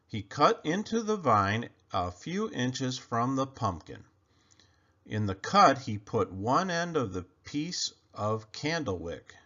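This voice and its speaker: A man reading a children's storybook aloud in an expressive, emphatic storytelling manner. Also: mid-range voice, highs cut off. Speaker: storybook reader.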